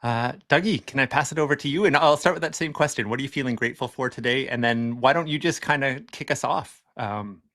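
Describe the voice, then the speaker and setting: A man's voice: medium pitch, fast talker, few pauses, fairly bright tone. A man hosting an online gathering, informally introducing guests and handing over to them with some hesitation. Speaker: host. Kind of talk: informal event introduction on a video call. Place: video call.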